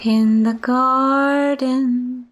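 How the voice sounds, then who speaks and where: An actress voicing the sweet, clear, faraway voice of a dead wife calling out. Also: female voice, high-pitched, fairly even intonation, drawn-out words. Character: ghostly late wife, sweet, calling from afar.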